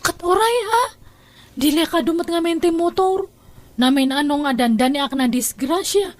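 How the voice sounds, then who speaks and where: A woman's voice: very high-pitched, normal speed, dry recording. A woman playing a headstrong, free-spending young adult daughter in an audio drama, defiant and casually stubborn. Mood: offhand. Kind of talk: Ilocano audio drama dialogue.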